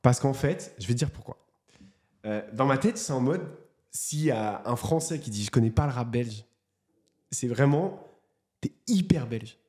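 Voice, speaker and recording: A man's voice: mid-range voice, lively intonation, normal speed, long pauses, dark tone. A man hosting a casual interview, talking with many hesitations and restarts. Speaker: host.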